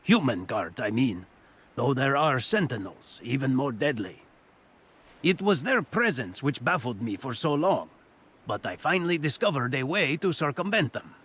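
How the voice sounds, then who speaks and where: An actor playing a cunning, boastful master thief in a fantasy audio drama, with a theatrical, self-assured delivery. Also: male voice, mid-range voice, very animated, normal speed, a bit bright, husky. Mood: scheming.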